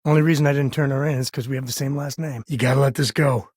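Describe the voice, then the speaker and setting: An actor playing a wry, warm-hearted middle-aged spacefaring cowboy and ex-ranger in a video game, with a husky voice and a folksy, conversational delivery. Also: male voice, mid-range voice, fairly even intonation, quick pace, no room sound, studio quality, lightly acted. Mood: wry, teasing. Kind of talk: naturalistic video game character dialogue.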